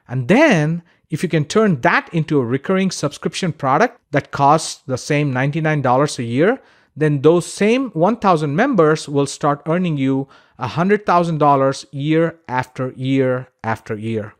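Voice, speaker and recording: A man's voice: fairly high pitch, expressive, unhurried. A man narrating a scripted online course as its instructor, in a conversational, emphatic, instructional way.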